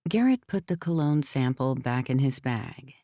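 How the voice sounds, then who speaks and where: Low-voiced woman narrating a novel. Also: expressive, warm tone, dry recording, clean studio sound. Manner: measured, storytelling. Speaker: audiobook narrator. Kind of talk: scripted fiction narration.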